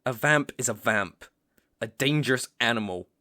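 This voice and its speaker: A man with a British accent playing a stern young vampire hunter, menacing yet reluctantly protective, in an ASMR roleplay with deliberate pauses and sighs. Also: mid-range voice, pushed and bright, close to an everyday voice. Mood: grudgingly impressed.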